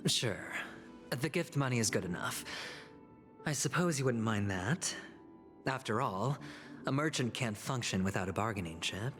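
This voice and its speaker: A man's voice: medium pitch, animated, normal speed, fairly bright tone, lightly acted. An actor playing a suave male gambler in a video game, courteous and controlled while staying guarded under pressure. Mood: accommodating. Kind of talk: dramatic video game voice acting. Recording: long reverb, game music underneath.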